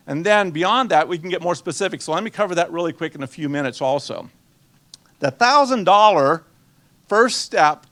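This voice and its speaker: A man's voice: high voice, fairly bright tone. A man in his sixties, from Guatemala and a native Spanish speaker, giving a conversational finance seminar, quizzing his audience and slipping in dry jokes. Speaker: nonprofit finance presenter.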